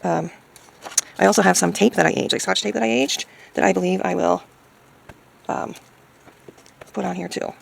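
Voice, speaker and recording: A woman chatting casually as she crafts, thinking aloud hesitantly in a hoarse, gravelly voice. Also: lowish voice, fairly even intonation, moderate pace, long pauses, slight background noise. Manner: hesitant, musing to self. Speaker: craft video maker.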